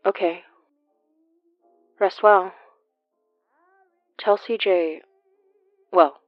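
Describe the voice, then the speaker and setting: A dry, slightly dull recording with music behind it. a wry, guarded woman in an audio drama, talking slowly and haltingly in a lowish voice. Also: dry recording. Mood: worried, subdued. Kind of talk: naturalistic audio drama dialogue.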